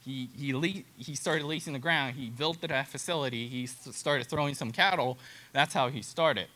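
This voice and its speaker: Japanese guy w accent giving an informal talk, bright voice, kinda halting simple english, non-native. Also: medium pitch. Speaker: cattle genetics specialist, presenter. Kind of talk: informal educational presentation with slides.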